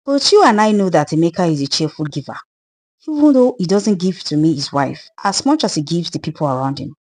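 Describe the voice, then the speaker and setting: A woman's voice: lowish voice, animated, brisk, darkish tone. A woman voicing the sorrowful, wronged ghost of a murdered widow in a dramatised folktale, plaintive and earnest, with a Nigerian accent. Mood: reproachful.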